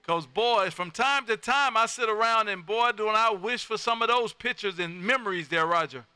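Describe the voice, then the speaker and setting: A man's voice: sits high, normal speed, sharp tone, clear, resonant tone, dry recording. A folksy, exuberant man, chatting casually as a ham radio host who peppers his talk with "man". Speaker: amateur radio operator and live stream host.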